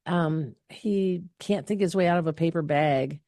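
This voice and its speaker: A woman's voice: deep voice, a little slow, warm tone, dry recording, clean studio sound. A middle-aged woman hosting a casual live question-and-answer session, talking in a chatty, rambling way with many fillers and restarts. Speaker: health clinic host answering viewer questions.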